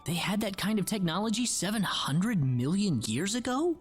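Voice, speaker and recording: A woman's voice: mid-range voice, very animated, moderate pace, faint music behind, lightly acted. An actress playing a concerned, curious young woman companion in a video game. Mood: curious, surprised. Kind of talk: video game dialogue acting.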